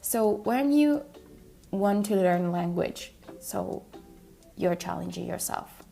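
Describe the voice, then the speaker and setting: Solo podcast host, woman, gravelly low-key voice, chatty and thinking aloud, soft music underneath. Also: lively intonation, takes it slowly. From Italy. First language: Italian. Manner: chatty, thinking aloud with many fillers and restarts. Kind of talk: solo podcast monologue on language learning.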